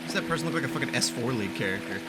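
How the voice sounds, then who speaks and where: A male gaming streamer with a mid-pitched, very animated voice. He jokes at his own expense in loose, playful commentary, with game music playing behind him. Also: racing. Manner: joking, self-deprecating. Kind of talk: playful let's-play commentary.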